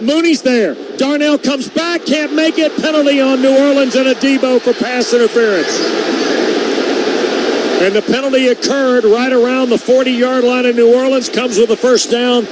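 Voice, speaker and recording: Male play-by-play announcer, American, high-pitched and punchy, calling football live over clearly audible stadium noise. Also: normal speed. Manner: punchy short present-tense calls. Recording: loud stadium noise behind the voice. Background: stadium ambience.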